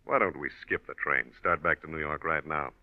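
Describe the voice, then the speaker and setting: An actor playing a dry, probing American homicide lieutenant in a 1940s radio drama, folksy and deliberately offhand as he questions. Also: a bass voice, no room sound. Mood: cool, firm. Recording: muffled old radio recording.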